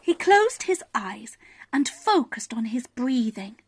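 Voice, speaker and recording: A woman reading a children's bedtime story expressively, with dramatic pauses and emphasis. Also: on the high side, big pitch swings, husky.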